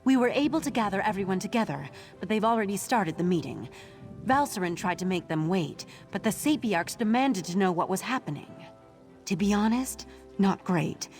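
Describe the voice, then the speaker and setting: A woman's voice: medium pitch, expressive, normal speed, soft background music, lightly acted. A woman playing an earnest, worried ally in a video game, plain-spoken and conversational. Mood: worried, exasperated. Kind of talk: scripted video game dialogue.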